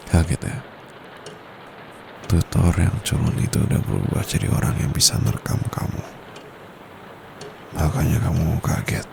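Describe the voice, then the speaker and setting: Male VA as teasing secret-dominant tutor, ASMR roleplay, extremely deep, gravelly, close-mic. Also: heavily acted. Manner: soft-spoken, teasing, slow and close. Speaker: voice actor. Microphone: close condenser mic. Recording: steady background noise with traffic.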